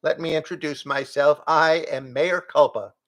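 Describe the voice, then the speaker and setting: A man's voice: on the high side, steady tone, moderate pace, a bit bright, natural voice. A man voicing a fussy, fretful male goat who mutters and apologizes in a prim way.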